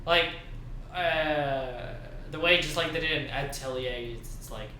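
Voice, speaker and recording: A man's voice: fairly high pitch, a little slow, pushed and bright. A man co-hosting a talk show in casual chat, rambling and prone to giggling. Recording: very echoey room with faint noise.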